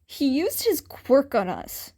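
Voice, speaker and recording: A woman reads a young male bully in a fan fiction story. He is hostile and accusing, with a defensive edge, in a fairly high voice. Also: huge pitch swings, slow pace, warm tone, exaggerated voice. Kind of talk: fan fiction audiobook character voice.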